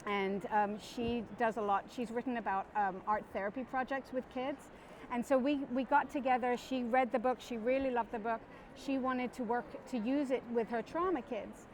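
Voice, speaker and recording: A middle-aged woman born in Guyana, an author chatting as a guest in an interview, talking in quick bursts and correcting herself as she goes. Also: fairly high pitch, fairly even intonation, a little hiss or hum, rough recording. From born in Guyana, raised abroad. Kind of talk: casual interview chat. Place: parked van.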